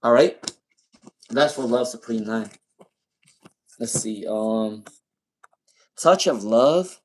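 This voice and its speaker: Tarot reader with a speech impairment, repeating himself. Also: male voice, mid-range voice, animated, takes it slowly, a little mellow, rough recording. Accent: non-native English. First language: not English. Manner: repeats names and questions while pulling cards. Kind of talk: live-stream tarot reading.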